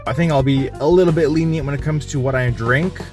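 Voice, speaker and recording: Young male vlogger, mid-pitched, relaxed diet diary with faint music underneath. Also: quick pace. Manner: candid, self-reporting how he feels. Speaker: fitness vlogger. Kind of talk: casual diet vlog narration.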